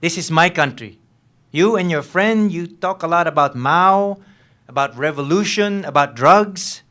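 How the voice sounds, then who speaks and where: An actor playing a menacing, volatile lieutenant who taunts and needles with abrupt questions in a dramatic reading of a novel. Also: male voice, on the high side, a little slow, bright, forceful tone. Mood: menacing, angry.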